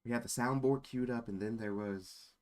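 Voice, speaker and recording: A man's voice: medium pitch, normal speed, darkish tone. A man streaming casual chat in a rambling, easily sidetracked way. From born in Germany. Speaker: live streamer.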